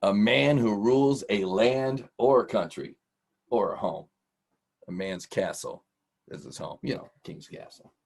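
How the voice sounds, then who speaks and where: A man's voice: on the low side, unhurried, darkish tone, breathy edge. A middle-aged man hosting an informal, encouraging vocabulary lesson for children in a folksy, casual way. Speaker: host, teacher.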